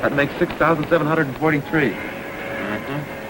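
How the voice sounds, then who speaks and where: An actor playing a talkative, literal-minded sidekick in a 1930s film. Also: male voice, moderate pace, lightly acted. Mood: earnest, chatty. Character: talkative, literal-minded young male sidekick reciting trivia. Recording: noisy 1930s film soundtrack, vehicle rumble.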